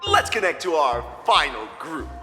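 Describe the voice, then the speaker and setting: A showy flustered game announcer, voice swooping all over, big reverb. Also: male voice, on the high side, very animated, normal speed, pushed and bright, faint music behind. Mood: showy hosting. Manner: theatrical, easily flustered hosting. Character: excitable male livestream show announcer, flustered and showy. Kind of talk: video game voice acting as show host.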